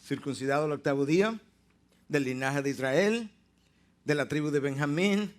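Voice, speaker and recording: A man preaching a sermon, teaching emphatically and slowing down to stress key words. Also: fairly high pitch.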